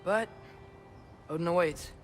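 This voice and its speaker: An actor playing an earnest, hesitant teenage boy apprentice in a video game, speaking in a halting, understated way. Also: on the high side, natural voice. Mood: hesitant, determined. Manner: halting, understated, a little unsure. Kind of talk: naturalistic video game acting. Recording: music behind the voice.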